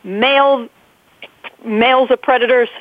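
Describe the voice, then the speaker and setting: A woman's voice: fairly high pitch, steady tone, no room sound. A woman with a New England accent, a guest making an earnest, emphatic case on a call-in show, piling up one point after another. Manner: emphatic, fast-flowing, piles up points. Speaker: guest advocate. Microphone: phone. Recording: tinny phone line, dry.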